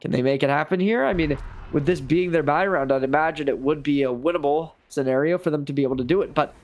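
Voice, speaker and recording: A man's voice: on the high side, quick pace, faint music behind. A man giving live analysis as an esports caster, conversational and opinionated, with emphatic phrasing.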